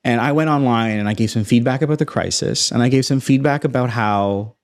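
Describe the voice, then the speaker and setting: Young Toronto man, North American accent, mid-pitched voice, self-assured podcast guest with emphatic storytelling. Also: fairly quick. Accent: North American English. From Toronto, Canada. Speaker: guest, space company founder. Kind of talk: casual podcast interview.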